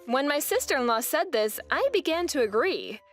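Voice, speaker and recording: A woman's voice: high voice, sing-song, moderate pace, faint music behind. Earnest young woman narrating a manga dub. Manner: earnest, weary storytelling. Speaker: narrator and wife.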